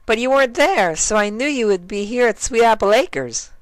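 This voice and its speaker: A cheerful young mare pony from a storybook, pitched very high. The delivery is bubbly and childlike, swinging widely in pitch with innocent eagerness. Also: male voice, animated, moderate pace, clean tone, no room sound. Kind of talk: fan fiction character reading.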